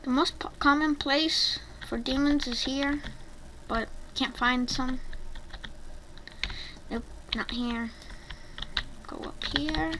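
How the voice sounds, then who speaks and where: High-pitched, halting gaming vlogger, female-sounding voice. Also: fairly even intonation. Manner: rambling, hesitant, halting delivery. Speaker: gaming YouTuber. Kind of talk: casual gameplay commentary. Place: home bedroom, voice over gameplay. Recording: noisy home mic with keyboard clicks.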